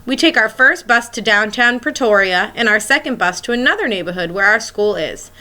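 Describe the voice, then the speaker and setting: A woman's voice: on the high side, expressive, brisk, bright, forceful tone. A woman schoolteacher reading a children's picture book aloud, expressively and as if speaking directly to young listeners.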